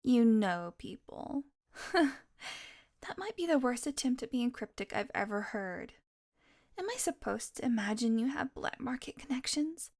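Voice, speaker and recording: A woman's voice: a little high, animated, measured pace, a little mellow. A woman playing a haughty, sardonic female vampire on the run in an audio roleplay, teasing and exasperated as she talks straight to the listener. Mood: mocking, teasing. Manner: sarcastic, teasing, exasperated sighs.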